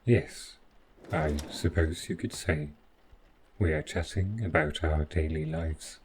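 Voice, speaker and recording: A man's voice: extremely low pitch, takes it slowly, background noise. A man playing a polite, devoted robot butler in an audio drama, speaking in a formal, stiffly precise and deferential manner. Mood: calm, attentive. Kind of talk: scripted roleplay audio drama.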